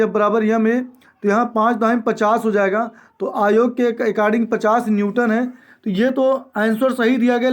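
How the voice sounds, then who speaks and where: High-pitched man teaching. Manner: repeats key statements for emphasis; mixes English terms into speech. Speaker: exam coaching teacher. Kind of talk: explanatory lecture going through exam questions.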